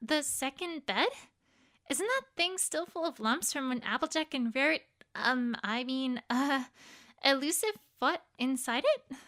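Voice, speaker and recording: A woman playing a bashful, bookish young pony student in an audio drama, speaking earnestly and haltingly. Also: high voice, fairly bright tone. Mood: flustered, bashful. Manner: halting, earnest speech with stammers. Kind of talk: audio drama fan fiction reading.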